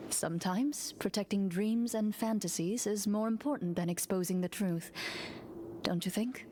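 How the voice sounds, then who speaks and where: A woman's voice: medium pitch, dark tone, a little hiss or hum, close to an everyday voice. A woman voicing a composed, principled knight. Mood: calm. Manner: measured, composed. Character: calm composed female knight, principled and dry-witted. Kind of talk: game voice acting. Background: game music.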